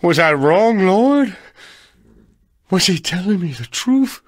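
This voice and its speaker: An actor playing an old, grim and tormented gunslinger preacher in a western game, gravelly, solemn and biblical in his delivery. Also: high-pitched, very animated, no echo, studio quality, full character voice. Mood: anguished. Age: older. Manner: solemn, biblical, slow and weighty. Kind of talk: western video game acting.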